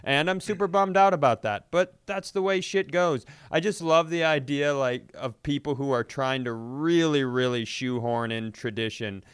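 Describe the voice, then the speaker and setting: A man's voice: medium pitch, normal speed, few pauses, clean tone, dry recording, studio quality. A man in casual comedic chat, telling stories in a profane, exasperated way. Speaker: comedy podcast host.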